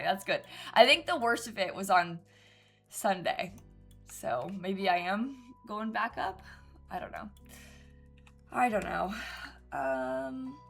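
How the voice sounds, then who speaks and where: Congested young woman streamer, gravelly. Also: medium pitch, sing-song, a little slow, pauses often, a bit bright, faint music behind. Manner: rambling, thinks aloud with frequent ums and giggles. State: congested from a cold. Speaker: gaming streamer. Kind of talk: casual live-stream chat while building in a game.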